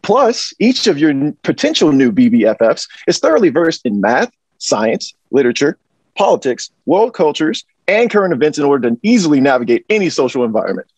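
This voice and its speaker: Slick upbeat concierge male actor, highish husky voice, very animated delivery, dry close sound. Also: a little fast, no room sound. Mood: upbeat, explaining. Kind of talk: comedic sales pitch, table read. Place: indoor office or storeroom. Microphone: wireless earbuds, video call.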